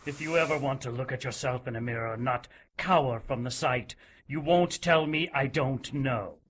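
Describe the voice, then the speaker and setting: A man's voice: medium pitch, moderate pace, a bit bright, slight background noise, lightly acted. An actor playing a cruel, zealous religious inquisitor in an audio drama, menacing and mock-polite as he coldly gloats. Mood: threatening.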